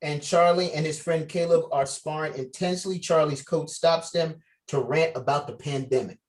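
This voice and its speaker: A man's voice: on the high side, normal speed. A man casually giving direction as he leads an online table read of a film script. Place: video call.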